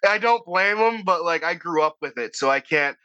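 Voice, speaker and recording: Boston-accented man, bright and slightly high-pitched, profane, chatty author guest. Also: normal speed, highs cut off. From Boston area, United States. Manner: profane, animated, rambling with restarts. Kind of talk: casual podcast conversation.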